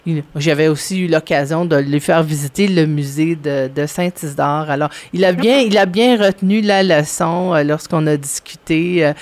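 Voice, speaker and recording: A correspondent chats on the radio, halting often and restarting sentences. The pitch sits right in the middle range. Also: a little mellow. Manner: hesitant, many fillers and restarts. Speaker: regional correspondent. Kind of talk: radio chat.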